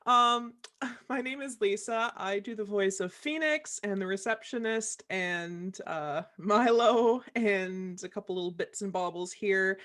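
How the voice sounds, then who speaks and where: A woman voice actor chatting casually, playful and quick to quip. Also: fairly low pitch, a bit bright. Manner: playful, quipping. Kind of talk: casual chat.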